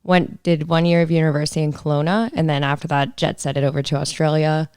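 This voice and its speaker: A woman from Canada, a motorcycle racer, telling stories in casual chat, upbeat and slightly hoarse. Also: low voice, flat delivery, brisk, darkish tone, no echo, clean studio sound. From Canada, southern Alberta. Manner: upbeat storyteller, laughs often. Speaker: guest, supermoto racer.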